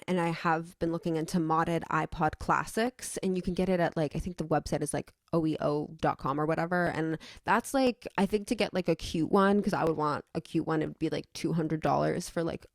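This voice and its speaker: A woman's voice: sits low, gravelly, no echo, clean studio sound. A young adult woman vlogging in a casual, rambling way, opinionated and emphatic, with some swearing.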